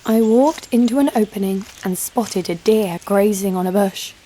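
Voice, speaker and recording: A woman's voice: medium pitch, moderate pace, warm tone, slight background noise, lightly acted, low-quality recording. A woman playing a tough, sardonic knife fighter who tells her own story in an audio drama. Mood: dry, tense. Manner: dry, sardonic. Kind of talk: scripted first-person audio drama narration. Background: music.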